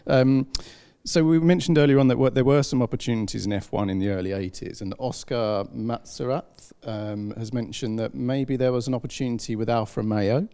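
Gravelly male interviewer reading notes. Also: mid-range voice, fairly even intonation, a little fast, few pauses, warm tone. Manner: reads from notes, chatty and rambling. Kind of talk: interview questions.

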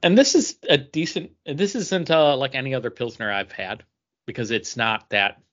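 A man co-hosting a podcast in casual chat, speaking in a hesitant, halting way. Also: medium pitch, fairly bright tone, dull audio. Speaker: podcast co-host. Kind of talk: casual chat, beer review.

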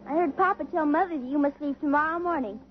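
A woman's voice: high voice, fast talker, slight background noise, lightly acted. An actress voicing a blunt, cheeky young girl, the family's younger daughter. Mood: pert. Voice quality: blunt, pert. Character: cheeky outspoken young girl, little sister. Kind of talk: 1950s radio drama acting. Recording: muffled old radio broadcast.

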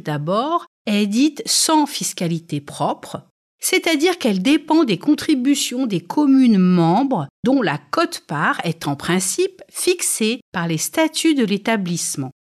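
Lively French woman presenting an explainer. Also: medium pitch. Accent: French from France. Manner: didactic, structured, poses questions then answers them. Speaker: podcast presenter and editor. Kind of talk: scripted educational explainer.

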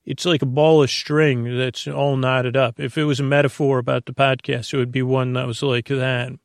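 A man with a creaky voice hosting a bedtime podcast in a meandering, halting ramble that keeps interrupting itself and drifting off topic. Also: medium pitch, level tone, no room sound, studio quality. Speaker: podcast host.

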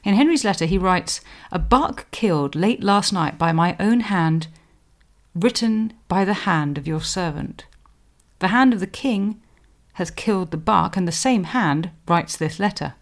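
A woman presenting a scripted history explainer in a measured, scholarly manner, giving emphasis to quotations. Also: fairly low pitch, lively intonation. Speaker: podcast host, historian.

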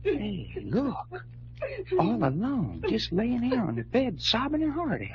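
Brash Southern adventurer, folksy drawl, high lively voice, muffled humming old radio recording. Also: male voice, measured pace, over a noisy crowd, exaggerated voice. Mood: concerned, curious.

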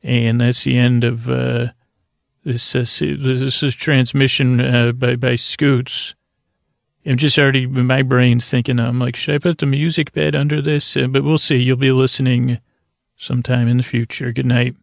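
A man with a creaky voice hosting a bedtime podcast in a languid, meandering, soothing ramble, often stumbling over his words. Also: medium pitch, flat delivery, moderate pace, no room sound, studio quality. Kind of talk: rambling, soothing sleep-aid monologue.

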